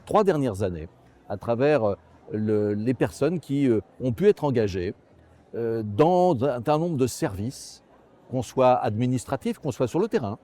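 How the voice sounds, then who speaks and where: A man's voice: mid-range voice, warm tone. A man speaking as a local official in an interview, emphatic and repeating key phrases. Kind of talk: interview remarks.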